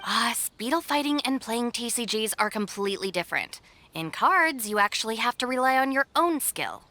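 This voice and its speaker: A dry no-nonsense young deputy lady, game dub, bright voice, slightly high. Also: big pitch swings, moderate pace. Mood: exasperated. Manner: dry, matter-of-fact, unimpressed. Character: sensible, exasperated young female gang deputy. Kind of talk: animated video game dub acting.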